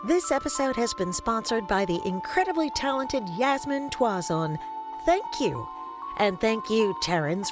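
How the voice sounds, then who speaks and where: A woman announcer reading a scripted podcast outro and credits. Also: fairly high pitch. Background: loud music.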